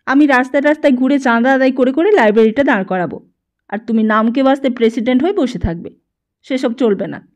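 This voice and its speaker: A woman's voice: high-pitched, fast, darkish tone, natural voice. A woman voicing a pushy, scornful teenage boy who badgers and dismisses in an audiobook story. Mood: scornful, demanding.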